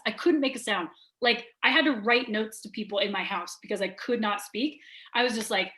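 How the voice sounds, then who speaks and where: A woman, a guest on a business interview, talking quickly and openly and filling her speech with "like". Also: on the high side. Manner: rapid and animated, lots of "like" fillers. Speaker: guest, sales trainer and business owner. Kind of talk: candid conversational interview.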